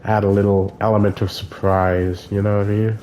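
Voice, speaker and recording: A man's voice: lowish voice, little pitch movement, brisk, darkish tone, muffled recording, a little hiss or hum. Stuffy-nosed man casually streaming a game. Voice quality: nasal. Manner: joking, offhand. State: stuffy nose. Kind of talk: casual gameplay commentary.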